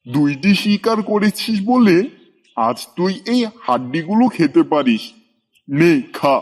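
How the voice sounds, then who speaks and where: A man's voice: fairly high pitch, lively intonation, a little slow. A man voicing a strong, cruel, greedy tiger in a cartoon, domineering and gloating as he bosses others around. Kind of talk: scripted cartoon dialogue.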